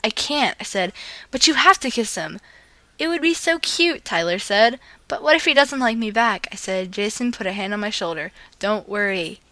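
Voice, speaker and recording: A woman's voice: medium pitch, lively intonation, normal speed, sharp tone, dry recording. A woman reading fan fiction aloud as a video host, playfully acting out the dialogue.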